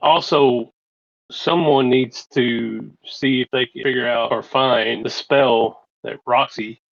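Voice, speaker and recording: A man's voice: medium pitch, fairly even intonation, takes it slowly, creaky, no echo. A man chatting casually in character as a tabletop game player, on lo-fi audio.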